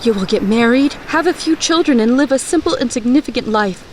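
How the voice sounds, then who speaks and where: A woman's voice: on the high side, lively intonation, fairly quick, slight background noise, close to an everyday voice. A woman playing a tender, worried mother. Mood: earnest. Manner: tender, pleading, solemn. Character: loving mother of a young hero, worried, wise.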